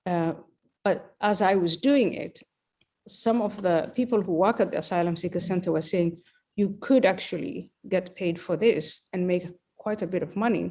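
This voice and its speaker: Middle-aged woman from somalia telling her story slowly and thoughtfully on a podcast. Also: fairly low pitch, darkish tone. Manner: measured, reflective storytelling. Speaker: podcast guest. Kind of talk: interview conversation.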